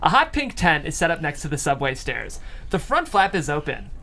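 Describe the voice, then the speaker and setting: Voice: medium pitch, wildly swooping pitch, fairly quick, creaky, pushed and bright. A narrator reading out stage directions in a scripted style at a comedy table read.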